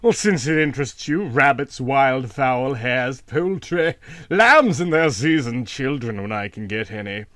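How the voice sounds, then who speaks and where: A man voicing an uncanny feral boy of sixteen in a storybook, lazy and mocking, patronising and slowly savouring words. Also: medium pitch, expressive, a bit bright, dry recording, studio quality. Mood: menacing relish.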